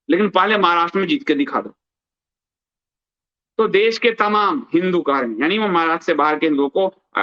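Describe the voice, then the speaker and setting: A man's voice: high-pitched, pushed and bright. A man hosting news commentary, reading aloud and adding emphatic remarks, repeating phrases for stress, in a dull lo-fi recording. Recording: dull, lo-fi band-limited sound.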